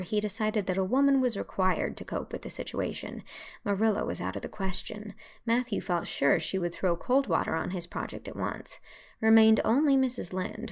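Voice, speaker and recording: A woman narrating a classic novel with an even, storytelling delivery. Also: medium pitch, gravelly. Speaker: audiobook narrator. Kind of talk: scripted narration.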